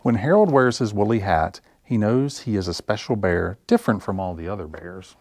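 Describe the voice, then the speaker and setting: A man reading picture books aloud for children in an expressive, deliberate storytelling style. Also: lowish voice. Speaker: library story time reader. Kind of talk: animated picture-book narration for children.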